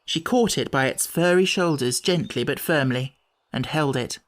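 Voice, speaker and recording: A man's voice: fairly high pitch, normal speed. A man reading a children's story as scripted audiobook narration.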